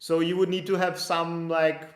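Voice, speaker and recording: A young man talks through visa questions in a loose, live-stream way, restarting sentences and filling gaps with "like." His voice sits fairly high but stays flat and even in pitch. The sound is roomy and echoey. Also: normal speed. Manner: conversational, thinks aloud with frequent restarts and "like". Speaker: immigration consultant answering viewer questions. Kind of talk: live-stream Q&A, casual explanatory. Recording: echoey room.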